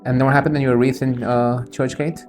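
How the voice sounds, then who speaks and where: A man's voice: medium pitch, little pitch movement, fast, a little mellow, soft background music. A man from India with an Indian accent, interviewing conversationally with informal, probing follow-up questions.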